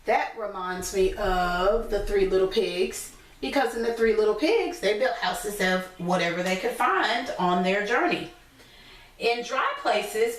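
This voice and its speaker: A woman teacher reading a picture book aloud to young children, pausing to explain things simply in her own words. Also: medium pitch. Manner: instructive, repeats and explains points simply. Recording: echoey room, distant from mic.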